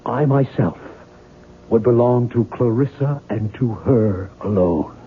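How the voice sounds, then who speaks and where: An actor playing a tormented, obsessive novelist in a 1970s radio drama, with earnest, dramatic phrasing. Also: male voice, medium pitch, slow pace, a little hiss or hum, natural voice. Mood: anguished, obsessed. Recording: muffled narrow-band old radio broadcast.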